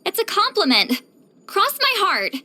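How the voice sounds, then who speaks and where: Casting: reclusive female shaman for a video game; bright, very animated delivery, touchy, theatrical, easily flustered. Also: very high-pitched, a little slow, exaggerated voice. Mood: delighted, flustered. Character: prickly, flustered, reclusive female shaman who loves novels and wine. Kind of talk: English video game character voice lines.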